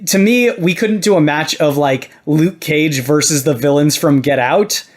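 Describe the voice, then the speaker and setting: Higher-voiced man hosting a podcast. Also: a little slow. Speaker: podcast host. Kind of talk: casual discussion.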